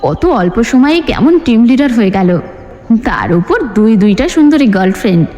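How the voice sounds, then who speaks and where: A woman's voice: fairly high pitch, sing-song, normal speed, mellow, faint music behind. A woman voicing a chatty, admiring, teasing young female student in an audio story. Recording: long hall-like reverb.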